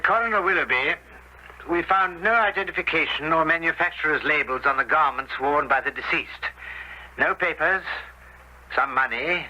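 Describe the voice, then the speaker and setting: Radio mystery police inspector: methodical, thoughtful, hesitant delivery, muffled old recording. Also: male voice, on the high side, pushed and bright. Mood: formal. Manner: deliberate, musing, with hesitations and muttered asides. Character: methodical, deliberate male Scotland Yard police inspector. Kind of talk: radio drama acting. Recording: dull, heavily noisy old radio recording.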